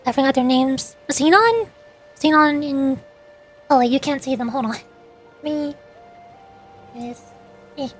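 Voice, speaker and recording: A woman's voice: sits high, a little slow, long pauses, faint music behind. A young woman streamer chatting casually with her viewers, rambling as she shows old screenshots. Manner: chatty, rambling, reads chat aloud.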